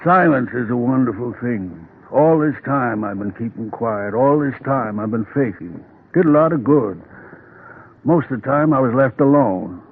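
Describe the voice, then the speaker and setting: A man acting in a 1950s radio drama as a weary, aging, long-suffering father with a plain-spoken, deliberate, folksy way of talking. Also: mid-range voice, lively intonation, slightly rough. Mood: resigned, reflective. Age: older. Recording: muffled old radio broadcast.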